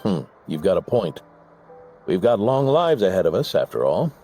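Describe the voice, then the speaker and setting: An actor playing an older veteran knight in a game, speaking with measured, solemn storytelling gravity in a gravelly voice. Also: male voice, deep voice, sing-song, mellow, soft background music. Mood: encouraging. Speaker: game character.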